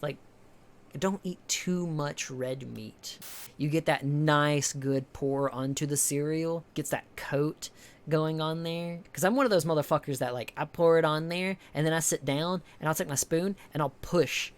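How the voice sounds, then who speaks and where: Rambling, swearing young streamer. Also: male voice, unhurried. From American South. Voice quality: higher-pitched voice. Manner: rambling, heavy swearing, many restarts and fillers. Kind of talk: casual chat with stream viewers.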